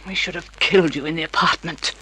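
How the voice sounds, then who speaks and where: An actress playing a bitter, scornful scheming wife in an old-time radio drama, theatrical and venomous. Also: female voice, low voice, fast talker, sharp tone, breathy edge, full character voice, poor audio.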